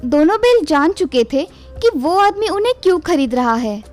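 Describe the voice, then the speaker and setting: Woman reading a kids story, high voice, narrator style, faint music behind. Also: big pitch swings, normal speed, darkish tone. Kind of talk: scripted children's story narration.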